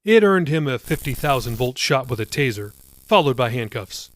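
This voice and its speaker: A man's voice: medium pitch, big pitch swings, moderate pace, no echo. A man reading his own novel as scripted audiobook narration.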